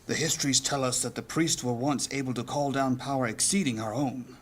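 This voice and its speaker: A man playing a weary, grave leader of a mages' guild in a fantasy audio drama, speaking in measured, formal lines. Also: mid-range voice, fairly even intonation, slight background noise, lightly acted. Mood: measured, cautioning. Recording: music under the voice.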